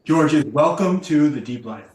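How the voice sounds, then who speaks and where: Higher-voiced male podcast host, echoey room. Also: expressive, unhurried, darkish tone. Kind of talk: conversational interview hosting. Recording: roomy, echoing sound.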